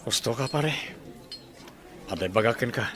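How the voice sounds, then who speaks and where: A man's voice: mid-range voice, animated, measured pace, close to an everyday voice. A troubled father with an Ilocano accent confides in a friend, played by a man. A busy restaurant crowd chatters behind him in this radio drama. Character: worried father Jojo.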